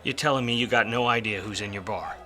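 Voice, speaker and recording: A hard-boiled 1940s gumshoe, acted by a man, in a noir radio play. The voice is lowish with a sharp, forceful edge, and he talks in wry, self-loathing slang. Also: fast talker. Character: weary, wisecracking, self-loathing 1940s private detective. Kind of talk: film noir radio play acting and narration.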